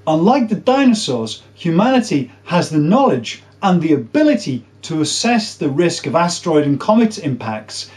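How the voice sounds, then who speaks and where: A man in his forties explaining science to camera as a presenter, with emphatic, drawn-out stress on key words. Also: a little high, big pitch swings, darkish tone. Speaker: presenter of a space science video series. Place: small indoor room.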